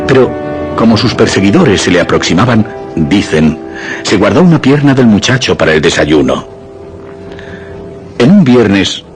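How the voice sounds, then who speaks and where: A man giving dramatic scripted narration for suspense radio theatre. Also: deep voice. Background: music.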